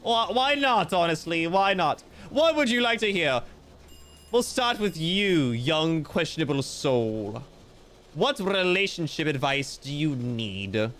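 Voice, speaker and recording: A man's voice: on the high side, big pitch swings, slow, a bit bright. A man streaming live, reading out viewer comments in a playful, theatrical way with mock-grandiose joking. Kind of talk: casual livestream chat reading viewer comments.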